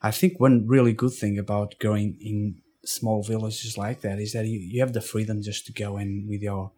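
Portuguese-accented male guest, lowish voice, speaks haltingly with many restarts. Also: level tone, dark tone, husky. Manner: halting, with frequent restarts and fillers. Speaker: interview guest. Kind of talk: casual storytelling.

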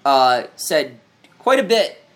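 A young man hosts a laid-back sports podcast with his friends. He rambles and jokes, dropping in fillers as he runs through the news. His voice sits a little high for a man. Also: very animated, slow pace, fairly bright tone. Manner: loose, joking, rambling with fillers. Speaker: podcast host. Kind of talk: casual sports podcast chat.

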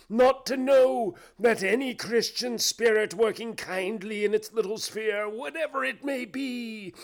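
Storybook phantom: anguished, remorseful, declamatory, pitched high with long drawn-out cries. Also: male voice, exaggerated voice. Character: tormented male ghost, wailing, preachy, remorseful old business partner. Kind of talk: dramatised reading of a classic ghost story.

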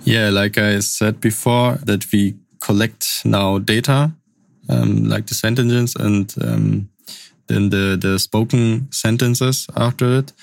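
A young man from Lusatia with a German accent, speaking non-native English as a podcast guest, answering in a halting, casual way. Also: on the low side, steady tone, gravelly, dry recording, clean studio sound. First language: Upper Sorbian.

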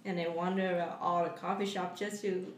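A woman's voice: on the low side, little pitch movement, a little fast. A young woman from Vietnam with a Vietnamese accent, chatting casually as a podcast guest, with many fillers and loose grammar. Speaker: guest, coffee shop manager. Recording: roomy echo, distant mic, faint noise.

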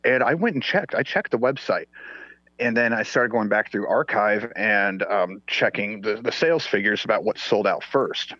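A man's voice: lowish voice, moderate pace, sharp tone, no room sound. A man from Sweden, Swedish native, chatting bluntly and crudely with frequent laughs. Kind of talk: casual chat. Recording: dull lo-fi audio.

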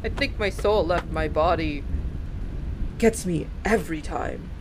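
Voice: medium pitch, measured pace, background noise, lightly acted. An actor playing a playful, dramatic young friend in an audio drama. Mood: playful, exasperated. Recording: loud background ambience.

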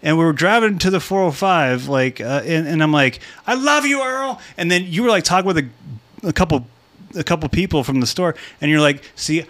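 A man's voice: fairly high pitch, animated, fairly quick, fairly bright tone. Laughing, upbeat male co-host. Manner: enthusiastic, laughs a lot. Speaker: podcast co-host. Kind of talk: casual chat.

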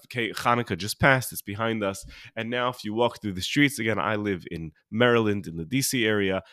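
Low-voiced American man, sniffly with a cold. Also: a bit bright, no echo, clean studio sound. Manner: informal, halting, rephrasing as he goes. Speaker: podcast host and religious teacher. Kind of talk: conversational solo podcast teaching.

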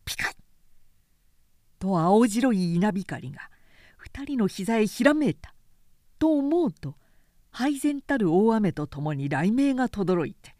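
A woman narrates a historical novel in a measured, dramatic way. Her pitch moves freely and expressively, and the sound is dry, with no room around it. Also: dry recording. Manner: clear, measured, expressive storytelling delivery.

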